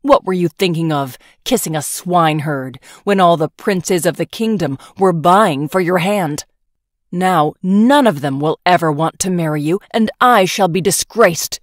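A woman voicing a furious old emperor and father, shouting and condemning in drawn-out words for a storybook. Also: on the low side, no echo, studio quality, natural voice. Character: enraged, scolding old emperor, the princess's father.

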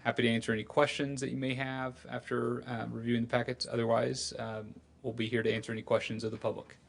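An American man presents to a public meeting in a creaky, fairly flat voice. His delivery is halting and official, with frequent hesitations. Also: mid-range voice. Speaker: budget presenter at a public hearing. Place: indoor public meeting room.

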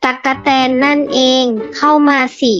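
A woman's voice: normal speed, a bit bright, highs cut off, soft background music, close to an everyday voice. A woman voicing a curious, kind young child adventurer who speaks with wonder to young listeners in a storybook tale. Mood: thoughtful, kind. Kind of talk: children's story hosting and acting.

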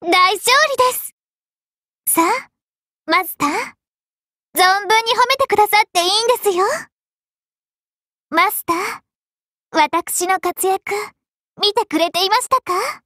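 A woman's voice: extremely high pitch, slow, pauses often, sharp tone, no echo, studio quality, heavily acted. A woman voicing a lovestruck, clingy young maiden in an anime mobile game, very polite, coy and devoted. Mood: proud, adoring.

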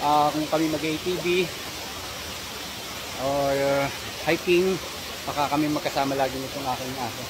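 Chatty hiking vlogger from the Philippines, a man in his thirties, talking to viewers on a handheld phone by a rushing waterfall. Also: fairly high pitch, steady tone. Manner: chatty, addresses viewers as guys. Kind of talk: casual hiking vlog. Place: outdoors by a forest waterfall. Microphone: phone held at arm's length. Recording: hissy outdoor phone audio over waterfall.

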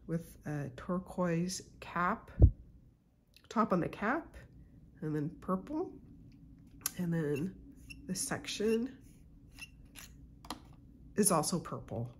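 A woman hosting her own channel, congested from a cold, chatting casually and unhurriedly with soft asides while she unboxes a pen at her desk at home. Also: lowish voice, lively intonation, extremely slow, long pauses, background noise. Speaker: YouTube channel host.

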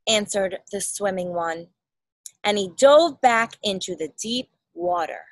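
A woman's voice: mid-range voice, very animated, slow pace, vocal fry, no echo. A woman, around her thirties, reads a children's storybook aloud expressively, explaining things and asking simple questions for a child. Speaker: parent reading a picture book aloud. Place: outdoors in a backyard. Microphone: phone or laptop at arm's length.

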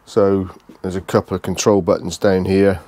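A British man giving a casual, unscripted walkthrough tour, speaking haltingly and repeating phrases as he goes. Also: sits low, flat delivery, a little mellow, no echo. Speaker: vlogger giving a motorhome tour.

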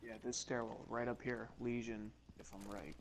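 A young man talks over team chat during an online shooter, joking crudely between quick callouts. His voice sits at a middle pitch, and clear noise runs under the chat audio. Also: little pitch movement. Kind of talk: casual gaming banter. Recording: noisy game-chat audio.

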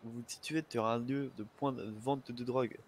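A man improvises as a police officer in game role-play, his voice sitting at a middle pitch. He speaks in polite procedural phrases but fumbles and hesitates, with light noise on the voice chat. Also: steady tone, normal speed. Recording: noisy gaming voice chat.

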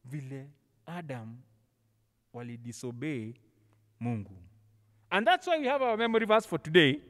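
A Kenyan man tells a Bible story to children in a high-pitched voice. He performs it theatrically, acting out the dialogue and mixing languages casually. His voice rings through a church hall with long reverb. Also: very animated, normal speed, fairly bright tone. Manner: code-switches casually between languages. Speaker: church school teacher. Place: large church hall, on stage. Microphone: handheld microphone, held close over face mask.

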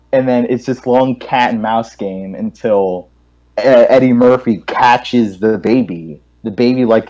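A young man with a fairly low voice chats on a gaming stream. He tells stories casually, circling back and repeating himself as he goes. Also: darkish tone, poor audio. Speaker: guest co-host on a gaming stream. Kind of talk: casual group chat, rambling storytelling.

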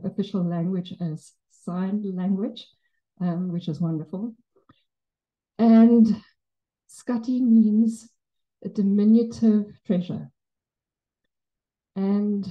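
A middle-aged South African woman with an Afrikaans accent gives a spoken tribute into a handheld mic in a shrine room. Her voice sits low, and she talks in a reflective way with touches of gentle humor. The recording sounds dull and muffled, caught by a far camera. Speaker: memorial speaker. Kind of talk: personal tribute speech. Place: Buddhist center shrine room, indoors.

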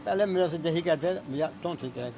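An older man with a Dogri accent, talking haltingly and drifting into dialect in a street interview outside his shop. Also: on the high side. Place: shopfront on a busy old-city street. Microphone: handheld reporter mic. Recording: loud street noise outdoors.

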